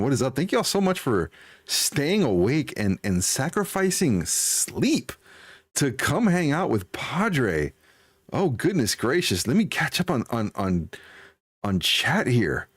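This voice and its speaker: A man in his forties hosts a game livestream with an animated, swinging delivery. His voice sits at a middle pitch and sounds dry, with little room sound. He chats casually with viewers in an upbeat, folksy way. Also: husky, no echo. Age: 40s. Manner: chatty, upbeat, folksy exclamations. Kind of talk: casual livestream chat, greeting and answering viewers.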